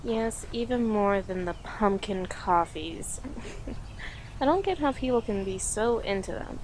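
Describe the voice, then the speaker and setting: A playful young girlfriend played by a woman chats intimately to her date in an audio roleplay. Her mid-pitched voice moves at a leisurely pace, teasing and affectionate. Outdoor ambience is clearly heard behind her. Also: expressive, darkish tone, slight background noise, lightly acted, poor audio. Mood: chatty, amused. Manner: teasing, giggly, conversational with pauses. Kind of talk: intimate audio roleplay, one-sided conversation.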